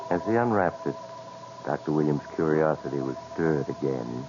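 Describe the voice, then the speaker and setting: An ominous storyteller in a vintage radio mystery. His voice is extremely low and measured, over clear background music. The recording is dull and old. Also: expressive. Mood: measured, mysterious. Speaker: narrator. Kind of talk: 1940s radio scripted narration.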